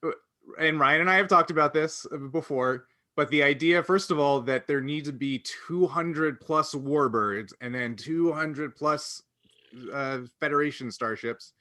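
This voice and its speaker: A man co-hosting a casual panel chat about a TV show, animated and opinionated as he steers the discussion. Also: a little high, measured pace. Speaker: podcast co-host leading the discussion.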